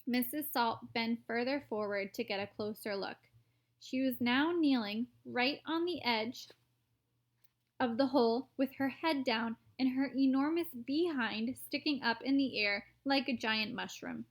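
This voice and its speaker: A woman reading a children's story aloud in an expressive, theatrical narrating style. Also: on the high side, a little slow.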